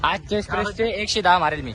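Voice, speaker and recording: A man's voice: fast, crowd noise. A young man, bantering casually and teasingly with friends as he vlogs. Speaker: vlogger. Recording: noisy outdoor vlog with traffic rumble.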